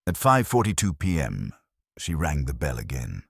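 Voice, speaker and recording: A man narrating a vintage detective story in the first person, in a measured, scripted storytelling manner. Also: a bass voice, wildly swooping pitch, creaky, a bit bright, breathy edge, no echo, clean studio sound. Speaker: audiobook narrator.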